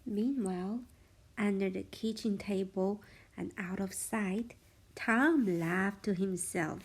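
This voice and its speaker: A woman reading a children's storybook aloud as a parent would, expressively, with a hushed sense of suspense. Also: mid-range voice, slow pace, breathy edge.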